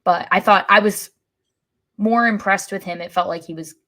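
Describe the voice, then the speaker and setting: A woman's voice: mid-range voice, steady tone, normal speed, fairly bright tone. A woman with a mid-range voice discusses sport as a podcast co-host. She speaks informally and somewhat tentatively, working out her opinion as she goes.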